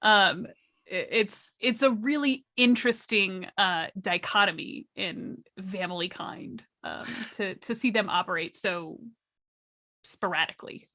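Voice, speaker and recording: A woman talking casually as a guest storyteller on a panel, enthusiastic and expressive. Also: mid-range voice, measured pace.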